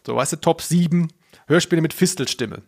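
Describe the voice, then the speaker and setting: A man's voice: fairly high pitch, fairly quick, husky. A man co-hosting a podcast, talking casually in a jokey, tongue-in-cheek way. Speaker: podcast host.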